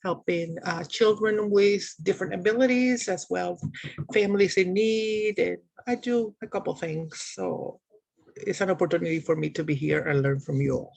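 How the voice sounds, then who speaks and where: Native Spanish-speaking woman, gravelly voice, chatty broken-phrase intro on a video call, dry. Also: fairly low pitch, normal speed, a little mellow, no room sound, poor audio. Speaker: community worker.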